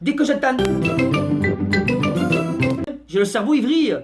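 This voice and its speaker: A man's voice: very high voice, fast talker, loud music behind the voice. A man doing a slangy, exasperated comic rant to camera. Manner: slangy, exasperated, mock-outraged. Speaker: comic vlogger.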